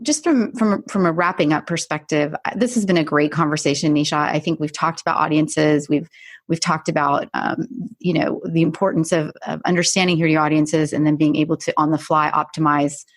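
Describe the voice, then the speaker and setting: A woman hosting a conversational business podcast interview, agreeable and quick to affirm what her guest says. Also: low-pitched, fairly even intonation, no echo. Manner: agreeable, frequent short affirmations. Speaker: podcast host.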